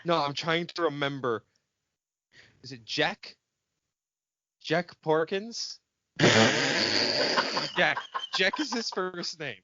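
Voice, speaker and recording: A man's voice: a little high, slow pace, pauses often, sharp tone, dry recording, muffled recording. A man chatting casually as a podcast co-host, swearing and being playfully melodramatic. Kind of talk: casual fan podcast chat.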